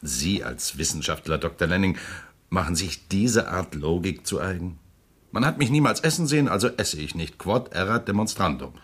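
A very deep-voiced smug prosecutor, ironic, laughs a lot, radio play. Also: male voice, animated, normal speed, fairly bright tone, full character voice. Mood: amused. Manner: ironic, laughs often, smooth and self-assured. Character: suave, amused male prosecutor and politician. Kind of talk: German radio play acting.

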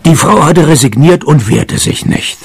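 An actor playing a wry private detective in a comic radio play, with a dry, sarcastic, creaky delivery. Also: male voice, fairly high pitch, lively intonation, normal speed, heavily acted. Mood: dryly narrating.

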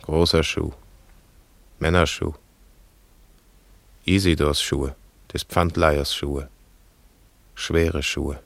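A grieving shoemaker speaks from a radio drama, gravelly and extremely deep. He sets down short, weighty phrases slowly, like a solemn recitation of mourning. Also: steady tone, fairly bright tone, dry recording, full character voice. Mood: mournful.

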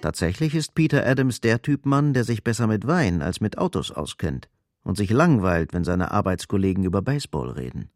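A man's voice: fairly low pitch, big pitch swings, brisk, no room sound, clean studio sound. A man narrating a scripted radio drama as its storyteller.

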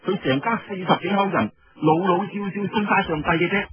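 A man's voice: high-pitched, brisk, crowd noise, voice pushed up, close to an everyday voice. A man with a Cantonese accent voicing a spirited, coy teenage girl who talks in an eager, gushing way, in a storybook telling. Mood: proud, lively. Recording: dull lo-fi radio recording.

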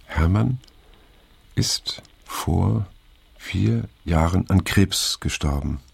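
Low, gravelly, weary middle-aged man. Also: expressive, no room sound, full character voice. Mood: resigned, somber. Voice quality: gravelly, husky. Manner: halting, pensive, understated. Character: weary middle-aged male survivor of a hippie circle, reflective. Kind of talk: naturalistic radio drama dialogue.

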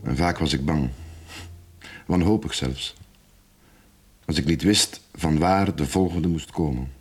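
An actor playing a rueful middle-aged recovering alcoholic confessing in a radio drama, husky, halting and frank. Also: male voice, low voice, darkish tone, heavily acted. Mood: sober, frank.

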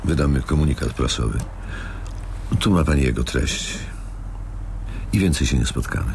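An actor playing a cold, menacing elderly company boss in a radio drama, gravelly and coolly formal, deliberate and controlling. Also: male voice, rumbling low, darkish tone, a little hiss or hum. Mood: curt, commanding. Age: older.

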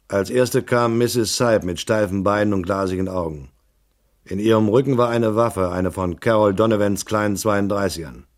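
An actor plays a tough male private eye telling his own story in a radio crime play. His voice is fairly low. The delivery is terse, laconic and matter-of-fact, in classic hard-boiled style. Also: normal speed, natural voice. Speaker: first-person narrator.

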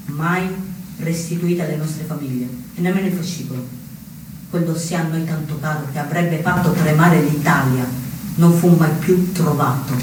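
A man's voice: a little high, lightly acted. Actor as young anarchist man, echoey theatrical monologue. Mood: bitter, insinuating. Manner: deliberate, halting phrases with dramatic pauses. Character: young Calabrian anarchist of twenty, dead, recounting his fate. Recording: very echoey, distant stage recording with noise.

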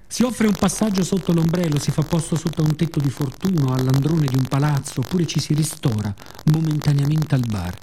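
A man performing a poetic, theatrical radio monologue in a measured, solemn manner with dramatic pauses. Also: fairly high pitch, mellow. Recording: faint ambient background noise.

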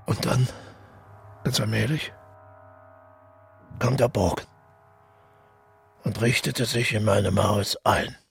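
An actor playing a brooding, isolated man haunted by dreams and guilt, in a measured, introspective radio drama monologue with a gravelly, husky voice. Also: lowish voice, soft background music, exaggerated voice. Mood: brooding, reflective.

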